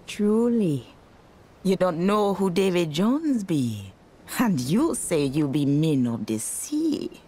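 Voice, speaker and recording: A woman playing a mysterious, knowing pirate sorceress with a Caribbean accent in a video game, telling tales in an ominous, drawn-out way. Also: on the low side, very animated, mellow, slightly rough, heavily acted.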